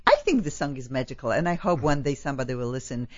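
A woman's voice: very deep voice, lively intonation, fast, a little mellow, dry recording. A woman hosting a talk show interview, gushing and enthusiastic, with many fillers. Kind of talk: effusive interview chat. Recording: dull lo-fi, dry.

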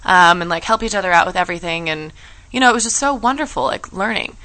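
A young woman hosting a casual chat show, telling stories in an animated, giggly way. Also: fairly low pitch, quick pace, low-quality recording. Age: young adult. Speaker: show host.

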